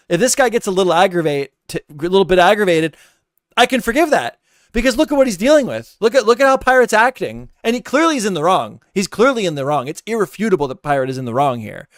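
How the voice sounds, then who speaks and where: Ranting man with a smooth, dry voice. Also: high-pitched, no room sound. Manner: ranting, exasperated, repeats himself. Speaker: reaction streamer. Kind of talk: heated casual commentary.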